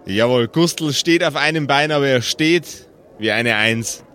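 A man's voice: mid-range voice, unhurried, bright, forceful tone, faint music behind. A man acting as game master, narrating an improvised tabletop role-playing game.

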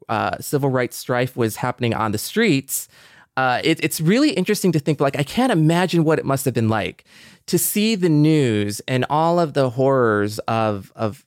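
A man's voice: mid-range voice, smooth, dry recording, studio quality. A middle-aged man co-hosting a podcast, speaking in an earnest, thoughtful way with hesitant, searching phrasing. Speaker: podcast co-host. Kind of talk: reflective podcast conversation.

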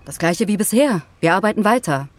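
A woman's voice: medium pitch, lively intonation, a little fast, lightly acted. A woman playing an outspoken astronaut in an audio drama, blunt and argumentative, with a sarcastic edge. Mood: indignant. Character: outspoken, indignant female astronaut defending her crewmate. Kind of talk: naturalistic audio drama dialogue.

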